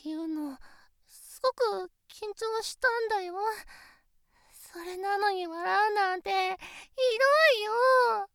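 A woman voicing a nervous, earnest teenage girlfriend in a situation voice drama, fretting in soft close-up talk with hushed asides. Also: extremely high pitch, slow, creaky, dry recording, heavily acted. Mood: flustered, fretting. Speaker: voice actress.

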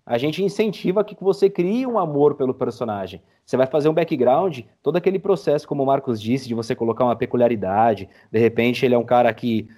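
Mellow Brazilian man, podcast guest. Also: medium pitch, fast, hardly any pauses. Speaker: podcast guest, audio drama creator. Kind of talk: casual interview chat.

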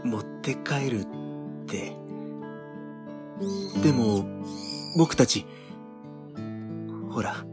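A man's voice: mid-range voice, extremely slow, pauses often, music in the background. An actor playing a mild, easygoing young man in a Japanese audio drama, speaking in a hesitant, musing way. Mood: gentle.

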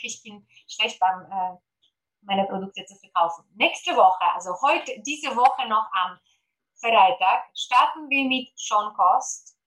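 A woman's voice: fairly high pitch, pushed and bright, rough recording. A woman from Poland with a Polish accent, speaking German as a guest on an interview podcast about gut health, eager and full of fillers. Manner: eager, many fillers, promotional.

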